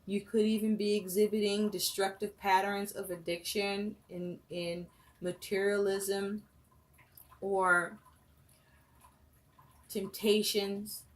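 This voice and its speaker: A woman giving a tarot reading for her viewers, listing phrase after phrase in a slow, measured way. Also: medium pitch, little pitch movement. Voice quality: voice rough and breaking, coughing. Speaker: tarot reader.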